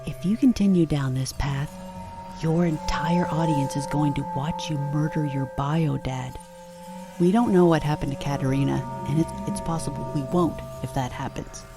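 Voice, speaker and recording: A woman playing a wry, grudgingly warm friend, speaking in a dry, measured, reassuring way in an audio drama. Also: low voice. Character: wry, steadying female friend with grudging warmth.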